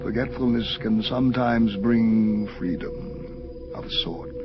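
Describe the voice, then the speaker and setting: A man playing an ancient, paternal and inscrutable God in an audio drama, with a gravelly voice and gentle, condescending delivery. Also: on the low side, fairly even intonation, close to an everyday voice. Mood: gentle, dismissive. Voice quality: creaky, gravelly. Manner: slow, gentle, deliberate, condescending. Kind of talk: dramatic audio play dialogue. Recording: dull lo-fi audio under music. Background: prominent atmospheric music.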